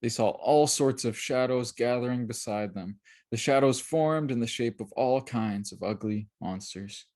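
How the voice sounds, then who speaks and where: An author reading a children's book aloud as scripted narration, in a dramatic, expressive storytelling manner. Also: mid-range voice, measured pace.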